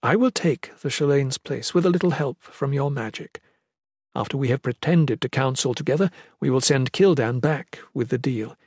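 A man's voice: mid-range voice, normal speed, a little mellow, breathy edge, no room sound, studio quality. A man voicing a determined, self-sacrificing mother in an earnest, formal manner for a fantasy audiobook. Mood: resolute. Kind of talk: fantasy audiobook character reading.

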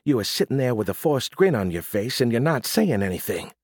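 A man voicing an exasperated young woman who reproaches her boyfriend in a quiet way. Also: mid-range voice, big pitch swings, fairly quick, slightly rough, no room sound, studio quality.

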